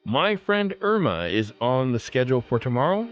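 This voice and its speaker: A man's voice: medium pitch, big pitch swings, normal speed, highs cut off. A man, a podcast host, reading commentary and announcements. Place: dry close recording.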